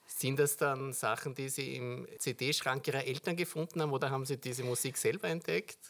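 A radio host speaks in a studio, putting curious, conversational questions to a guest. The voice sits at a middle pitch with a noticeable gravelly creak. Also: steady tone, fairly quick, a bit bright.

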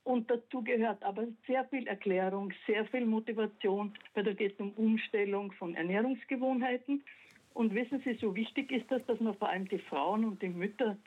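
A woman's voice: a little high, normal speed. Insistent woman caller, lecturing tone, dull phone sound. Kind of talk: call-in radio comment. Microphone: telephone line. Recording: muffled phone line.

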